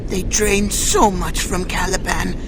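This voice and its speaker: An actor playing a wretched, drained, loyal mutant in a video game, halting and creaky. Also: medium pitch, fairly even intonation, lightly acted. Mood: anguished. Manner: halting, speaks of self in third person. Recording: clear background noise.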